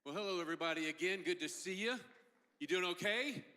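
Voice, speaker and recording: A man's voice: sits high, quick pace, pushed and bright, faint music behind. A middle-aged man emceeing a student panel on stage with a handheld mic, upbeat and joking. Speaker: host. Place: large stage hall, indoors. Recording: long hall reverb.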